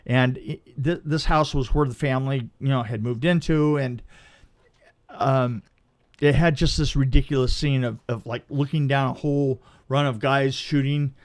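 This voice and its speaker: A man's voice: mid-range voice, steady tone, moderate pace. A man telling a casual anecdote as a film crew guest, stammering and repeating words as he goes.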